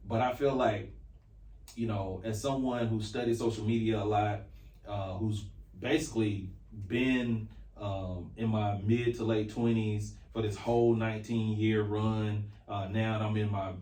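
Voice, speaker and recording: Low-voiced American man, roomy echo. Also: fairly even intonation, measured pace, dark tone. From North Carolina, US. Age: 40s. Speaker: podcast co-host. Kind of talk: casual sports talk, opinionated. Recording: roomy echo, distant from mic.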